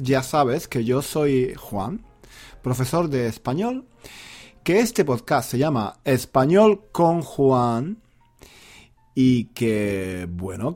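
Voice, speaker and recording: A man's voice: fairly high pitch, darkish tone. A man from southern Spain hosts an informal podcast for learners, theatrically repeating phrases and asking rhetorical questions. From Granada, Andalusia, Spain. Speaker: Spanish teacher, podcast host.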